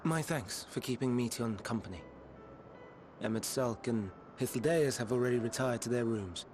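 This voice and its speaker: An actor playing a polite, gentle, reserved scholar in a video game, speaking formally and softly. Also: male voice, medium pitch, normal speed, soft background music, close to an everyday voice. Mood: courteous. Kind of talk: video game cutscene acting.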